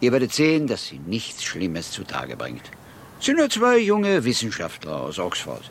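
A man acting in a German radio drama, playing a genial, eloquent English gentleman philosopher with a courteous, wry, discursive way of talking. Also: lowish voice, wildly swooping pitch, normal speed, slight background noise. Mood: amused, reluctant.

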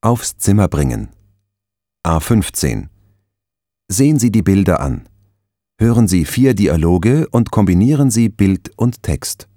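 A man reading instructions as the narrator of a language course, calm and measured. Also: on the low side, no echo, studio quality. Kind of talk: instructional announcements.

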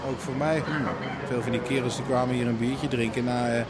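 An actor playing a dry, teasing barman with a deadpan manner in a naturalistic radio drama. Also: mid-range voice, brisk, heavy background noise, close to an everyday voice. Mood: casual. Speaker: bartender.

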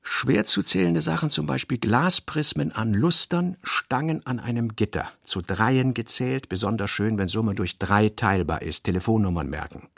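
Guy reading literary stuff for radio, husky and creaky, clipped phrases. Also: mid-range voice, animated, moderate pace, hardly any pauses, fairly bright tone, no echo, studio quality. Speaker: literary reader.

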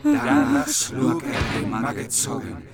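An actor playing a medieval bard, reciting old verse in an incantatory singsong for a radio play. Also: male voice, extremely high pitch, wildly swooping pitch, slow, gravelly, full character voice. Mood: solemn chanting. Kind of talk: declaimed Middle High German verse. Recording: loud music behind the voice.

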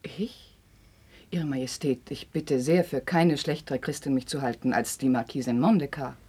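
A woman's voice: rumbling low, normal speed, warm tone, husky. A woman playing an elegant, deferential young princess and lady-in-waiting in a 1950s radio drama. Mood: deferential, poised. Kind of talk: 1950s German classical radio drama acting.

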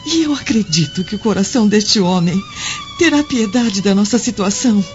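A woman's voice: medium pitch, animated, moderate pace, a little mellow, close to an everyday voice. A woman playing a devout, worried Roman wife and mother in a radio drama, speaking in an earnest, imploring way. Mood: pleading. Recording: dull lo-fi audio over music.

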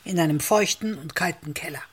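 An actor playing a meek, taciturn village innkeeper in a radio play, giving terse, deferential answers. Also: male voice, a little high, a little fast, fairly bright tone, slightly rough. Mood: subdued, matter-of-fact.

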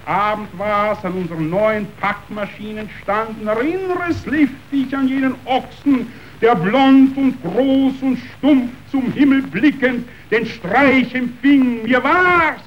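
An actor playing a ruthless yet sentimental meat magnate in a verse radio drama, declamatory, wheedling and self-pitying. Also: male voice, very high-pitched. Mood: theatrical, shaken. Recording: dull radio recording, faint noise.

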